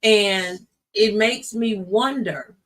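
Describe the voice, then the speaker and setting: A man's voice: high-pitched, slow pace, a bit bright, recorded from a distance. An American man hosting a talk show, interviewing guests in a casual, conversational way.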